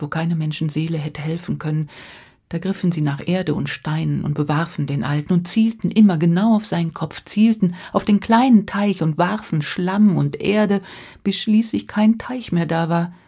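A woman storyteller freely narrating a fairy tale, with dramatic pauses, repetition and hushed drops for suspense. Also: fairly low pitch, slightly rough.

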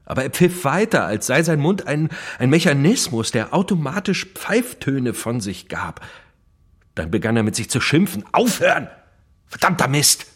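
A man's voice: mid-range voice, big pitch swings, normal speed, slightly rough. An actor playing a brooding, intense male narrator of a prisoner's inner life in a literary radio drama. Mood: restless, agitated, then self-scolding, frantic.

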